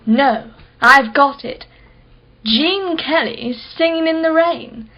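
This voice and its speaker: Woman acting high-voiced, mocking lady customer. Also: slow pace, lo-fi sound. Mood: playfully sarcastic. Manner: sarcastic, wordy, theatrical. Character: wealthy mocking woman customer, skeptical and theatrical. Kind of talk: radio play acting.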